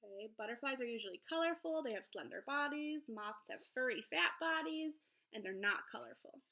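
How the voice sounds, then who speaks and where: A woman teacher reading a children's book aloud in an expressive way, pausing to explain things simply to young listeners. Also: high voice, vocal fry. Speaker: elementary school teacher.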